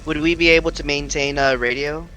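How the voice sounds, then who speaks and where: A man casually talking through a tabletop game as a player, tentative and halting. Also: fairly high pitch, steady tone, bright, forceful tone, a little hiss or hum, low-quality recording.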